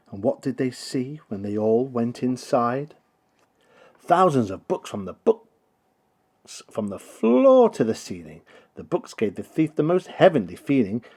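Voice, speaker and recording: A man narrates a rhyming children's picture book in a theatrical, rhythmic way. His pitch moves around in a very lively manner, and the voice has a slightly husky edge. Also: measured pace. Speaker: storybook reader.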